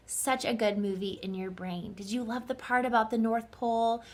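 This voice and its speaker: A woman reading a children's story aloud with dramatic, deliberate phrasing and questions put to her listeners. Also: fairly high pitch, flat delivery. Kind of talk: expressive children's read-aloud with asides to the listeners.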